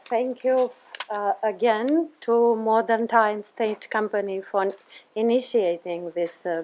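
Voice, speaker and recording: A woman's voice: medium pitch, slight background noise, rough recording. A woman, a theater scholar presenting a paper in a careful, formal way with frequent hesitations. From Romania. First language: Romanian. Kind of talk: conference talk.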